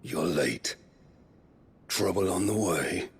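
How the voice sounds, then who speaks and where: A man playing a menacing, mocking warlord villain in a video game, theatrical and gravelly, with deliberate, taunting delivery. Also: low-pitched, expressive, a little hiss or hum. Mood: taunting, grandiose. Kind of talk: dramatic video game acting.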